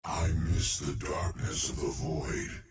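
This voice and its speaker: An actor voicing a male alien eyeball creature, a cold, curious scientist who speaks in detached, ominous pronouncements. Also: steady tone. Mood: coldly clinical. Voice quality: deep, slow, gravelly and clinical. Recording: roomy game audio with crowd noise behind.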